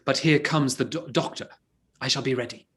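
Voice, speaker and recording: An actor playing a witty, scheming gentleman in disguise, with an arch, theatrical delivery. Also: mid-range voice, moderate pace, close to an everyday voice. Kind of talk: stage-play reading.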